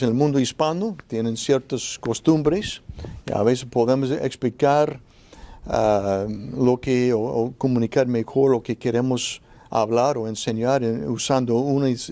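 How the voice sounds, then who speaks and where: A man's voice: mid-range voice, warm tone. A man speaks on a church conference panel with a mid-range voice. He often stops and restarts his sentences. The sound is close and dry, with no room echo. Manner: hesitant, frequent restarts. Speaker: panelist, preacher. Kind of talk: panel discussion.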